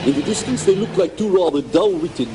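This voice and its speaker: Excitable eccentric belgian prof cabbie, high voice, noisy old radio comedy. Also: male voice, brisk. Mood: cheeky, brisk. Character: eccentric, grasping Belgian male professor moonlighting as cabbie. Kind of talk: 1980s comedy radio play acting. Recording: noisy radio play recording.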